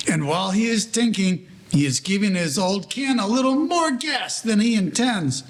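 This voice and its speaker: A man's voice: high-pitched, fairly bright tone, slight background noise. A man narrating a scripted story as the storyteller of a 1940s-style radio play.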